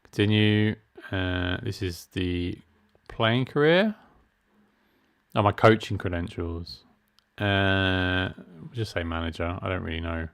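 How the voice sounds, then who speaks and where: A man's voice: on the low side, smooth. A middle-aged man, chatting casually and self-mockingly while reading game menus aloud. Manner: chatty, self-deprecating, reads menu options aloud. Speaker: gaming streamer. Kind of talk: casual let's-play commentary.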